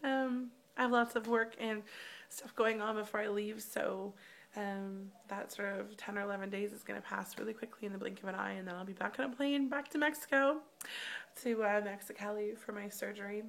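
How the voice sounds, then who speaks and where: A woman in her thirties speaking candidly in a casual personal vlog, her voice slightly rough, with many ums. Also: medium pitch, fairly even intonation, brisk, a bit bright. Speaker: vlogger. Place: hotel room.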